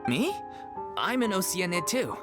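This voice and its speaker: An easygoing, food-loving man of the stage. His intonation swings very widely over clear background music. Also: medium pitch, very animated, a little slow. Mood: easygoing, cheerful. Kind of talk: English video game voice acting.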